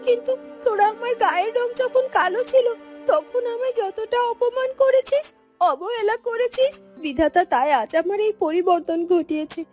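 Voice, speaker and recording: Woman as a high-voiced, earnest young village heroine. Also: animated, normal speed, faint music behind. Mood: reproachful. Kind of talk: fairy tale cartoon acting.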